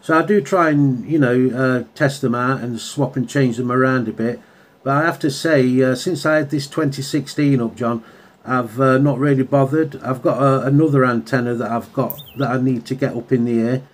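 A man with a mid-pitched voice makes casual radio contact as a hobbyist. He is chatty and slips easily into radio jargon. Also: steady tone, normal speed. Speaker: radio hobbyist and video maker. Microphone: handheld radio microphone.

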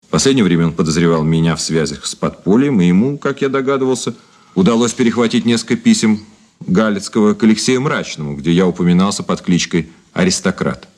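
This is a deep-voiced man in a Soviet radio play, a cynical ex-detective. He speaks in measured, theatrical phrases with grand irony and mockery. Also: expressive, a little mellow. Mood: grave, measured. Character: cynical, ironic former detective officer and turncoat, grandly mocking. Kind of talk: Soviet radio drama acting.

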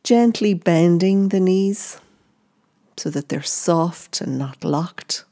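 Slow, low-voiced woman guiding meditation. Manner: gentle, unhurried instruction in short phrases. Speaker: meditation guide. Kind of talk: guided meditation narration.

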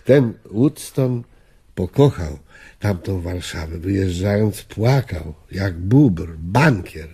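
A low, husky old gentleman in a radio play, speaks slowly and earnestly. He sounds reflective and disillusioned, weighing each phrase with weary care. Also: animated, exaggerated voice. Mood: reminiscing. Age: older. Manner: measured, earnest, world-weary. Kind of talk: radio drama acting.

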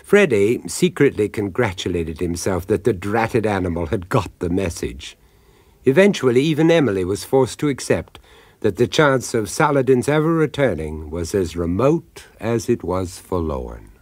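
A wry, ominous male storyteller for a radio horror tale. His low voice moves expressively through the script, recorded dry and close. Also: animated, moderate pace, darkish tone, no echo. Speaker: narrator.

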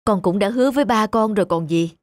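A woman voicing a weary, gentle middle-aged widowed mother in a cartoon, speaking in a tender, reassuring way. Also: medium pitch, fast talker, no echo, close to an everyday voice. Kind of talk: animated moral-tale dubbing.

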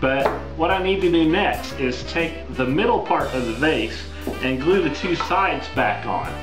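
Man, forties, woodworking host, plain-spoken, fairly high voice, echoey workshop, guitar music underneath. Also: measured pace. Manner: relaxed, plain-spoken, self-deprecating. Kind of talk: casual how-to narration. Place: home woodworking shop. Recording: roomy shop with music bed. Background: guitar background music.

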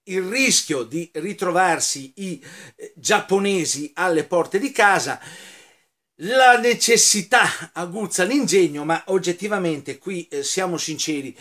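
Guy in his 50s rambling to his webcam, slightly high voice, lots of ums and restarts, dry jokes at the viewers. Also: a bit bright. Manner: halting, with many fillers and repeated words; sardonic and joking, addressing viewers as sirs. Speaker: history video host. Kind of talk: casual talk to camera. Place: indoor room full of flags and scarves.

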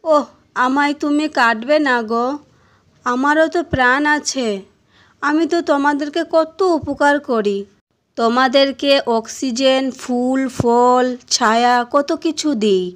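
A woman voicing a gentle, pleading talking tree in an amateur cartoon dub. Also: high-pitched, unhurried. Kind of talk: amateur Bengali cartoon dubbing.

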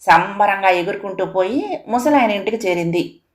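Medium-pitched woman storyteller. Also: expressive, moderate pace. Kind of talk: scripted children's moral story narration.

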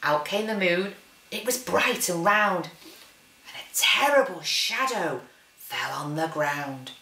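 A woman, a teacher reading a children's story aloud with dramatic, deliberate phrasing. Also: fairly low pitch, animated, bright, forceful tone, far from the mic. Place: living room, indoors. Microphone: camera a short distance away. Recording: roomy living-room echo.

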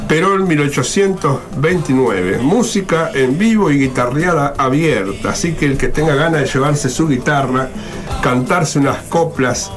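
A man's voice: a little high, expressive, measured pace, over music. A man with a Buenos Aires Argentine accent hosting a radio show, reading out event notices in an informal, ad-libbed, conversational way.

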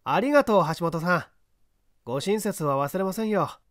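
Man acting a high, confident young reporter. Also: lively intonation, normal speed, a bit bright, no echo, studio quality. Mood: cheerfully confident. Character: confident, courteous young male newspaper reporter sleuth.

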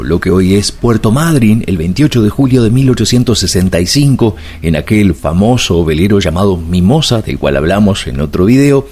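A man's voice: on the low side, mellow, slightly rough. A middle-aged man, narrating a road-trip travel video and explaining local sights one after another. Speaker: travel vlogger.

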